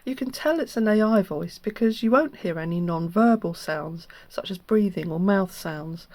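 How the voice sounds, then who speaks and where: Female host, earnest explainer, medium pitch, dry sound. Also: animated, normal speed, darkish tone, no room sound. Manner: earnest, instructive. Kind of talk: explanatory scam-awareness narration.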